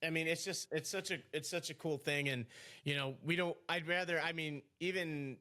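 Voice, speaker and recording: A man's voice: fairly high pitch, fairly even intonation, normal speed, sharp tone. A man co-hosting a casual podcast, teasing his guest and rambling with many restarts. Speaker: podcast co-host.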